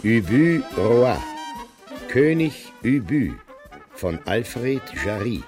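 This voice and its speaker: A man's voice: mid-range voice, big pitch swings, extremely slow. A man reading a scripted radio play announcement as the announcer. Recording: voice over brass music. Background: brass music.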